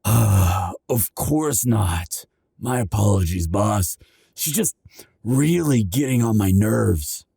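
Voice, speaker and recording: A gravelly, exasperated dragon captain. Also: male voice, lowish voice, lively intonation, takes it slowly, mellow, no room sound, exaggerated voice. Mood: apologetic. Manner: gruff, exasperated, complaining. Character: hot-tempered dragon captain, loyal but frustrated. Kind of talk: audio roleplay acting.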